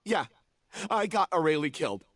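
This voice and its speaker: An actor playing a defensive, guilt-ridden man from a theatre troupe in a dramatic video game, speaking in a halting, confessional way. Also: on the high side, very animated, fairly bright tone, a little hiss or hum. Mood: guilty, confessing. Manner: halting, confessional, stammering at times.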